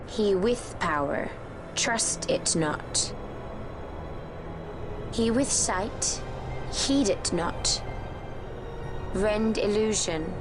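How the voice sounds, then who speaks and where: Give a calm, mysterious warrior woman of a non-human race. She speaks very deliberately in solemn archaic phrases, as in a fantasy game cutscene. Music plays clearly behind her middle-pitched voice. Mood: solemn, incantatory. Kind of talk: video game cutscene acting.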